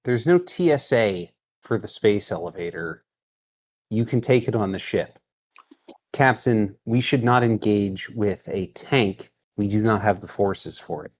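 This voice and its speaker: A man with a somewhat low voice plays a tabletop roleplaying game. He talks casually in character to the other players. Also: expressive, measured pace.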